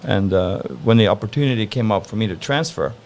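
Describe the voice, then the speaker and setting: Interview guest, male, from Norway, gravelly low voice, easygoing and thoughtful. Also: a little hiss or hum. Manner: relaxed, thoughtful, frequent "uh" pauses. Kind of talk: casual interview answers.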